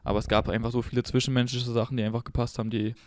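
A man's voice: mid-range voice, flat delivery, very fast, dry recording, studio quality. A man from Berlin chatting casually and informally as a guest in an interview. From Berlin, Germany. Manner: informal, colloquial German. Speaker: podcast guest, former footballer.

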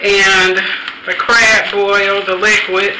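A woman's voice: medium pitch, flat delivery, slow, echoey room, background noise. A woman vlogger talking casually to camera while she cooks at home, chatty and thinking aloud, with frequent little laughs. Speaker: cooking vlogger. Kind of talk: casual cooking chat to camera. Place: home kitchen, indoors.

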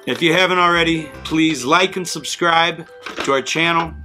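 A man's voice: on the high side, moderate pace. A middle-aged man, a father hosting a family game video, chatting playfully and teasingly with a child. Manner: animated, teasing. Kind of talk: playful casual chat with a child. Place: indoor toy-filled room at a table. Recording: music behind the voice. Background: music.

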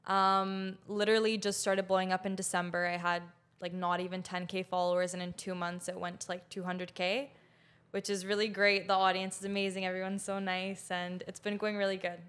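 A woman in her twenties from Canada talks about her cooking on a casual podcast. She sounds friendly and sincere, speaks in a mid-range voice, and pauses often on "um" while holding a handheld mic. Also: medium pitch, little pitch movement, a bit bright, clear, resonant tone. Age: 20s. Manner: friendly and earnest, with many "um"s. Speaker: chef and food creator. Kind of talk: casual interview chat.

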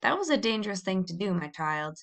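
A woman's voice: mid-range voice, big pitch swings, fairly quick, fairly bright tone. A woman voicing a stern yet loving pioneer father in a storybook, with an authoritative, grave manner. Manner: authoritative, snapping, then grave.

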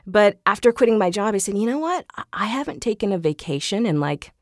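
A woman's voice: medium pitch, big pitch swings, fast talker, a little mellow, no room sound, clean studio sound. A woman chatting casually as an interview guest, laughing easily as she talks. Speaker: podcast guest, voice actor. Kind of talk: casual conversational interview talk.

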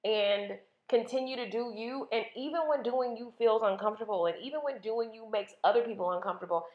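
A woman's voice: fairly high pitch, fairly quick. Thirties woman hosting a solo podcast; candid and chatty, recorded in a roomy space. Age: 30s. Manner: chatty, candid, uses filler "like" and "um". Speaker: podcast host. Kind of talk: casual solo podcast monologue. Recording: roomy, dull lo-fi sound.

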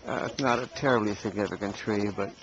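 A man's voice: lowish voice, fast talker. An older man filming a group hike outdoors and making dry, understated remarks as he walks. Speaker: hiker filming the walk. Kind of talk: casual on-the-go video commentary. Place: outdoors on a rural hillside trail. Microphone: handheld camcorder mic. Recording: dull camcorder audio, outdoor noise.

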